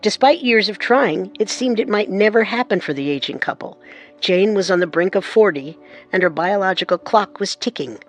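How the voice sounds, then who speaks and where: A woman narrates a historical mystery in a measured, scripted storytelling voice at a mid pitch. Faint music sits under her words. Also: lively intonation. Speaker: narrator and co-host.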